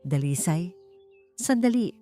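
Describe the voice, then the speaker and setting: A woman voicing a sweet, determined young lady with a gentle, friendly manner in an audio love story. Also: lowish voice, animated, measured pace, soft background music. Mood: worried.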